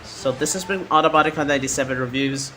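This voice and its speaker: A man narrating a hands-on toy review in a halting way, often repeating his words. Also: mid-range voice, pushed and bright, soft background music.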